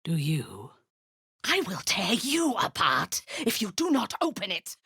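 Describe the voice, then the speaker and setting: A ruthless drow priestess who leads raids without mercy, speaking in an unhurried, husky voice. Her tone rises and falls widely, from whispered menace to barked orders. The game recording is close and dry. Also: medium pitch, wildly swooping pitch, no room sound, exaggerated voice. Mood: commanding. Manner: commanding, menacing, often hushed and hissing. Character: ruthless drow priestess commander, proud and cold. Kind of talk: video game voice acting.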